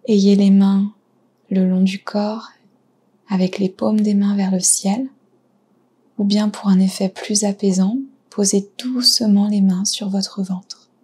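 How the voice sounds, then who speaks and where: Very slow, breathy woman guiding meditation. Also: mid-range voice, fairly even intonation. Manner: soft, breathy, soothing delivery with pauses. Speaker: yoga nidra guide. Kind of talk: guided relaxation meditation.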